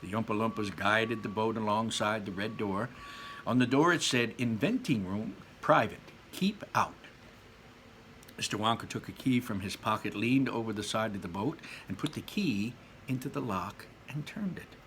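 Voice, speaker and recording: A man's voice: lowish voice, normal speed, fairly bright tone, slight background noise. A man reading a children's book aloud as a storyteller, with dramatic emphasis on descriptive words and casual asides.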